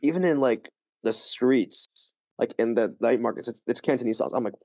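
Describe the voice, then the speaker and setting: A man, a guest on an interview show, telling stories in a lively, chatty way with many fillers and quick restarts. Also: medium pitch, no echo, muffled recording. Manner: animated storytelling, full of fillers and quick restarts. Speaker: podcast guest, language teacher. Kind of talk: casual interview chat.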